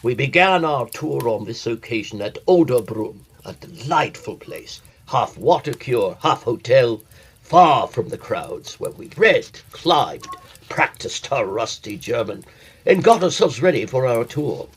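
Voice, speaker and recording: A cultivated gentleman traveller in a period radio play. He is formal and articulate with a wry edge. His mid-range voice moves with lively expression. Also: mid-range voice, unhurried, slightly rough. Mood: reflective. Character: well-bred, wry gentleman traveller recounting his tale. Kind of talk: period audio drama, storytelling and dialogue.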